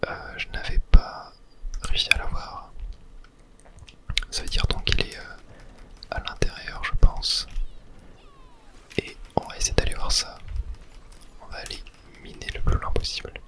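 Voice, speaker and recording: A man whispers soothing ASMR gameplay commentary in a breathy, unvoiced murmur, close to the mic. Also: a bit bright. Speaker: gaming video host.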